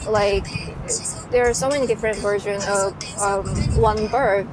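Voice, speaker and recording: A woman's voice: medium pitch, fairly even intonation, moderate pace, music in the background. A young woman with a Korean accent, answering a class's questions in a hesitant, casual way with many fillers and restarts. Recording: noisy outdoor recording with traffic.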